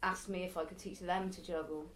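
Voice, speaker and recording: A woman's voice: on the low side, little pitch movement, brisk, slight background noise, poor audio. A woman in her early forties, a circus performer and youth circus teacher, answering interview questions in a chatty, reflective way. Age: early 40s. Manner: chatty, reflective, laughs now and then.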